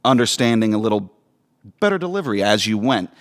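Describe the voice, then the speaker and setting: Quick-talking man in casual chat. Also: lowish voice, sing-song. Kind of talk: casual chat about voice acting.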